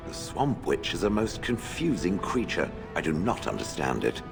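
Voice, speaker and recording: Very deep, creaky stone golem. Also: male voice, big pitch swings, normal speed, over music, full character voice. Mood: puzzled, haughty. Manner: formal, dry, detached phrasing. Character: ancient sardonic stone golem, haughty and curious. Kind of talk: video game character acting.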